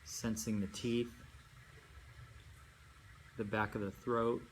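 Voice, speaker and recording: A man leading a guided meditation as a yoga nidra teacher, speaking in unhurried phrases with long pauses and gentle instruction. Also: fairly low pitch, little pitch movement. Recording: amateur recording with faint background noise.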